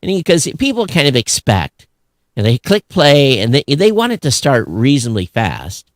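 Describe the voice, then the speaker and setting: A man's voice: medium pitch, huge pitch swings, normal speed, no room sound, clean studio sound. A man hosting a podcast in casual, emphatic discussion, often voicing both sides of an imagined exchange. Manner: emphatic, acts out imagined dialogue. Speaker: podcast host.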